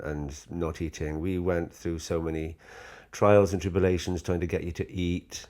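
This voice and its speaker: Deep-voiced Welsh Valleys man. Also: a little mellow. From South Wales Valleys. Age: middle-aged. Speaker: guest, software developer. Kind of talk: casual family interview.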